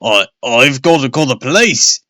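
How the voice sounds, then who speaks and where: A man's voice: medium pitch, expressive, moderate pace, bright, forceful tone, dry recording. A man doing a frantic sputtering dad. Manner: sputtering, shrieking, stammering. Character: panicked frantic middle-aged father, hot-tempered.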